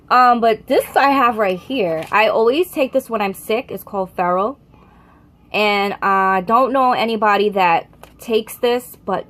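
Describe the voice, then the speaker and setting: A young American woman talks casually to her camera at a middling pitch. She rambles, restarts her sentences and fixes her own words as she goes. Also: a bit bright, slight background noise. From United States. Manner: rambling, chatty, frequent self-corrections. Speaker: vlogger.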